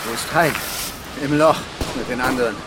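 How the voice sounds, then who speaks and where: A man's voice: mid-range voice, normal speed, noisy background, natural voice. A man playing a ruthless, commanding leader of armed survivors in a horror radio drama, scornful and used to giving orders. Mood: cold, scornful.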